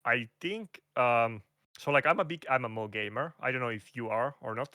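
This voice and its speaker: A man with a Finnish accent talks through a casual interview, searching for words and restarting often. His voice comes across bright and forceful. The sound is close and dry, with no room around it. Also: mid-range voice, moderate pace, no echo. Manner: hesitant, restarts and fillers, non-native phrasing. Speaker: guest content creator. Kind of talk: casual interview over a call.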